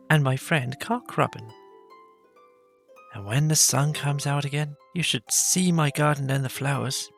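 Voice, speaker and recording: An earnest little storybook country mouse, played by a man, timid yet cheerful and prone to exclaiming. The pitch is lifted high, with soft music underneath. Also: gravelly.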